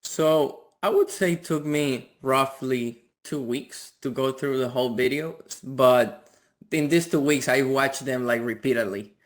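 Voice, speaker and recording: Male guest, thirties, from the Dominican Republic, medium pitch, casual talk full of repeated words. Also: unhurried. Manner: repeats words, frequent "like" and "you know". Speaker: interview guest, physician. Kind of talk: casual conversational interview.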